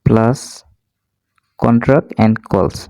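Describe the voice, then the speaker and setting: A man narrating a step-by-step tech tutorial in an instructional way, pausing between steps. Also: mid-range voice, vocal fry, mellow, no echo.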